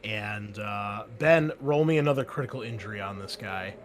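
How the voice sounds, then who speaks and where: A man running a tabletop game as game master, narrating in a casual, halting way full of fillers. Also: fairly low pitch, slight background noise.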